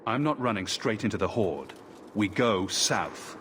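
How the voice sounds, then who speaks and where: Resolute young man, fairly deep voice, terse, wary, fantasy game, noisy ambience. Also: expressive, a little slow, a bit bright. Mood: wary, defiant. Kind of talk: dramatic fantasy video game acting.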